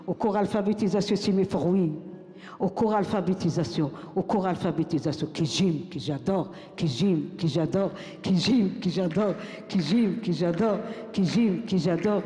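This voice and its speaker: An older woman recites her own text on a stage, her voice soft and mellow. She builds rhythm by repeating lines like a chant. The sound rings with the long reverb of a big hall. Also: fairly low pitch, normal speed. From Morocco. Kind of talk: spoken-word recitation to an audience.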